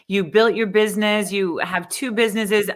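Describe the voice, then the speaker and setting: A woman's voice: medium pitch, steady tone, normal speed. A middle-aged woman hosting a livestream interview as a speaking coach, upbeat and chatty.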